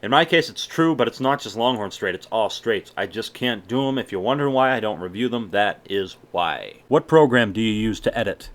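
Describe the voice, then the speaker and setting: A man's voice: lowish voice, lively intonation, a little fast, few pauses. Laid-back young man with a faint Boston lilt. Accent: mild Boston mixed with general American. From Massachusetts. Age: young adult. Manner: laid-back, swears often, chatty. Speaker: YouTuber answering viewer questions. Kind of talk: casual Q&A vlog.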